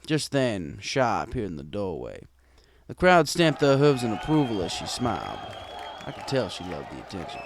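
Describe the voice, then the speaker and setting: A man's voice: on the low side, wildly swooping pitch, moderate pace, vocal fry, crowd noise, background noise. A man reading a scripted first-person story as a wry, conversational bartender pony. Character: wry, gruff bartender pony telling his tale. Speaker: story narrator.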